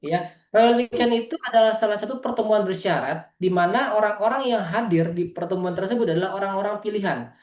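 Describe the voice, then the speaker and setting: A man's voice: high-pitched, a little mellow, echoey room. A man from East Java giving a motivational business presentation on a video call, earnest and constantly addressing his audience directly. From East Java, Indonesia. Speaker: business presenter at an online meeting. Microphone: video call microphone.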